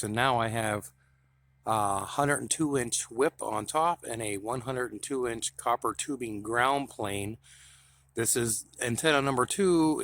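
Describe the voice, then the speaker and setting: A man casually showing off his radio gear in a relaxed, enthusiastic way, with many fillers. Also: mid-range voice. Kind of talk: casual show-and-tell vlog.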